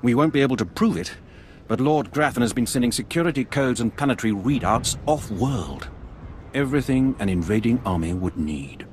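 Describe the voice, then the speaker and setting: An actor playing a stern, indignant older military veteran in a video game. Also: male voice, medium pitch, lively intonation, fairly quick, darkish tone, slight background noise, lightly acted. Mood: grave. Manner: stern, grumbling, earnest. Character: indignant veteran male Imperial officer, older, disgruntled, grave. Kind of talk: video game cutscene acting.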